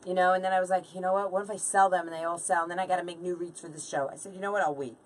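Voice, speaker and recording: A woman in her late forties hosts a live craft stream in an informal, chatty way. Her voice sits on the low side and is picked up from a distance, with clear background noise. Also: steady tone, very fast. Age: late 40s. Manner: chatty, informal, banters with viewers and helper. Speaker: craft livestream host. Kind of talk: casual live chat while crafting.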